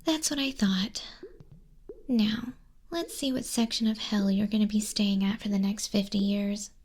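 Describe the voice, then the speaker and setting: A woman playing a smug demon clerk in an ASMR roleplay, soft-spoken and often whispering, with a breathy, husky, condescending and teasing delivery. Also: mid-range voice, fairly even intonation, measured pace. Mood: teasing, businesslike. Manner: condescending, teasing, dryly bureaucratic. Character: smug female demon clerk registering sinners in hell.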